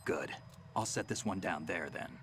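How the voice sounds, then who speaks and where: A calm, gruff soldier in a game cutscene, who gives clipped, matter-of-fact orders. His voice sits low, with a faint hiss behind it. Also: moderate pace. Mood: calm, terse. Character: gruff male soldier ally, calm and terse. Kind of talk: video game cutscene acting.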